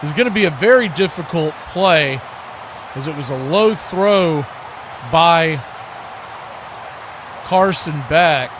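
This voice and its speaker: A man's voice: on the high side, animated, slow pace, long pauses. A man calling live football play-by-play as a radio announcer. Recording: clearly noisy background hiss and ambience.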